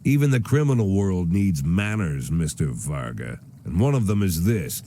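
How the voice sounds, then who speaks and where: An actor playing a weary, cynical, aging American police chief gone corrupt, narrating a noir video game in a dry, sardonic manner. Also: male voice, low voice, very animated, normal speed, dark tone, heavily acted. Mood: curt, dismissive. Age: older.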